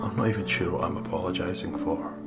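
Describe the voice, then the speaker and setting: A man's voice: low voice, steady tone, fast talker. A man co-hosting a let's play, reading a visual novel aloud and commenting casually. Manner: casual and sweary. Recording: game music behind the voice.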